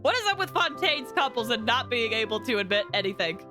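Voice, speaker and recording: Casting: female streamer, high and very lively voice, chatty rambling commentary, loud game music underneath. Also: brisk. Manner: excitable, rambling. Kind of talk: casual livestream commentary, reading chat.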